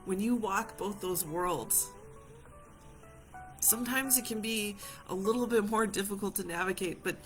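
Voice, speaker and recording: A woman from Hawaii leading a reflective live session as a community healer, speaking gently and reassuringly to viewers and repeating phrases for emphasis. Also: medium pitch, a little slow, music in the background.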